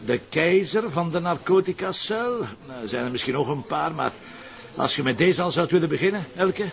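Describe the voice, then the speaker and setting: A gravelly Flemish police inspector, brisk and wry as he gives orders. He is protective of his team and talks naturally, as in a TV crime drama. The sound is slightly dull and lo-fi. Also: a little high, lively intonation. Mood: brisk, commanding.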